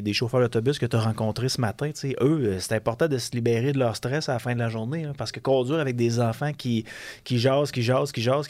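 A man's voice: mid-range voice, darkish tone, no echo. A man with a Quebec French accent, hosting an interview and reflecting in a conversational way. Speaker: host.

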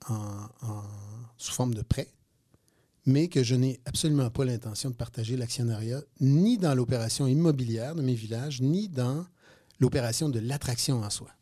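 A man's voice: mid-range voice, moderate pace. Quebec entrepreneur, 50s, male, chatty storyteller, stumbles and repeats words on air. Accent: Quebec French. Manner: animated, repeats words, many hesitations. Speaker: guest entrepreneur. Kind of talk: radio interview, casual storytelling.

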